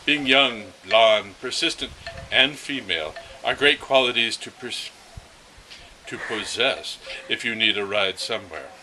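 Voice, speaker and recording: A man's voice: on the low side, measured pace, background noise. A man reading a first-person literary story aloud, expressively and with the occasional stumble. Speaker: reader of a story.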